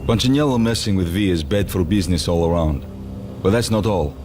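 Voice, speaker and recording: A sly, theatrical gun-running mobster, as a man plays him in a game cutscene. His voice sits a little low colors every line. He speaks with wry, showy bravado. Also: a little fast, natural voice. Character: Russian arms-dealing mobster, sly and theatrical. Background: music.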